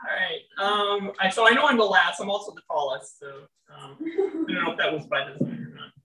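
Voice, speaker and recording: Loud, wry poet in a roomy venue. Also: mid-range voice, expressive. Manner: wry, chatty between poems, slow and measured when reading. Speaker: poet reading own work. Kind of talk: live poetry reading with casual asides. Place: indoor venue with live audience. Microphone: stage microphone, often off-mic. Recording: roomy, distant live-venue sound.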